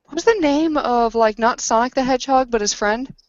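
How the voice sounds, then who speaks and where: A woman's voice: a little high, brisk, dry recording, highs cut off. A woman chatting casually as a player in a roleplaying game, improvising descriptions and searching for her words.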